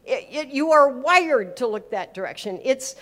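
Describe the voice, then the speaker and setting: A woman with a somewhat high voice gives an informal talk. She tells anecdotes as a teacher would, leaning hard on key words, repeating them, and slipping into quoted dialogue to make each point. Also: animated, normal speed, a bit bright. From America and Switzerland. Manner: emphatic, repeats words for effect, acts out dialogue. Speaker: speaker giving a talk on faith and business.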